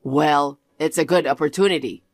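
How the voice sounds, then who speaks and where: A woman's voice: low-pitched, moderate pace, a little mellow, no room sound, studio quality, pitched below the performer's own voice. A woman voicing an arrogant young husband who lectures his wife with cold scorn. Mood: condescending. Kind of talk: one-voice drama reading.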